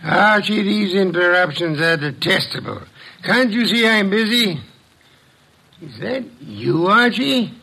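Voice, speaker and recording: An erudite, irritable detective of radio drama. The voice is highish and forceful, with a gravelly creak. He phrases everything formally and sardonically. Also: animated, slow pace, full character voice. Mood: irritated, sardonic. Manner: pompous, wordy, formal, sardonic. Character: pompous, erudite, irritable male genius detective. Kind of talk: old-time radio detective drama acting.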